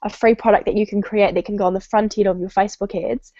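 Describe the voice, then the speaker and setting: A woman's voice: medium pitch, steady tone, fast talker, warm tone, no room sound. A woman with a stuffy, nasal voice from a cold, giving a casual online marketing training on a call with many ums and restarts. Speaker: webinar presenter. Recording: dull lo-fi web call audio.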